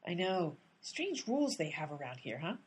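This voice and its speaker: An actress in a radio comedy playing an exasperated female amateur sleuth who talks in a brisk, bossy way. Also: fairly low pitch, sing-song, heavy background noise. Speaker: lead character, amateur sleuth. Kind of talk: comic radio play acting.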